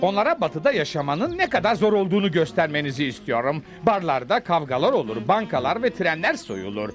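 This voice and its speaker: Wealthy older cartoon gentleman, scheming, pompous, extremely varied intonation, music bed. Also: on the high side, big pitch swings. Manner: pompous, theatrical, drawn-out names. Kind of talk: Turkish cartoon dub. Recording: background music under the voice.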